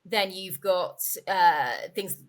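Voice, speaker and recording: A woman's voice: lowish voice, takes it slowly. A woman being interviewed as a company expert, explaining things informally in a conversational way and rephrasing as she goes. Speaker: interviewee, company growth director.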